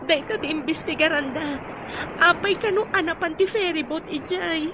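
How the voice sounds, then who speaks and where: Casting: earnest young daughter for radio drama, very high pitch, noisy backdrop. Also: female voice, moderate pace. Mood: worried, earnest. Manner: earnest, questioning. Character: concerned young woman, a dutiful, caring daughter. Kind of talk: Ilocano radio drama acting. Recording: clear background noise, engine-like ambience.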